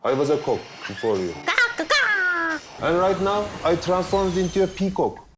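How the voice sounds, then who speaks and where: Woman putting on a deep, boastful man. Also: very animated, moderate pace, roomy sound, heavy background noise. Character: deep-voiced man boasting of changing, adult.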